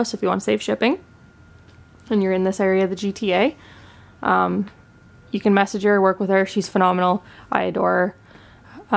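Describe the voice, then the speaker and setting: A young woman from Canada chats eagerly about her purchases, her words full of little fillers and restarts. Her mid-range voice has a gravelly fry to it. She sounds like she's recorded at home on a camera sitting on a table. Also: medium pitch. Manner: chatty, enthusiastic, lots of fillers. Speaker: pet channel host. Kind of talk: casual haul chat. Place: indoors at home, living room.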